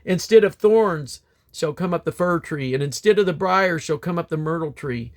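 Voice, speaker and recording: A man reading scripture aloud in a solemn style, with deliberate, declamatory phrasing that falls away at the ends of phrases. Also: fairly high pitch, expressive. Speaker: Bible reader.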